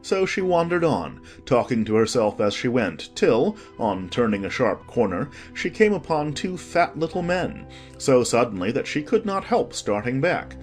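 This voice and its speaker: A narrator reading a children's storybook in an expressive, scripted storytelling manner. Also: mid-range voice, moderate pace, soft background music. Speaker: audiobook narrator.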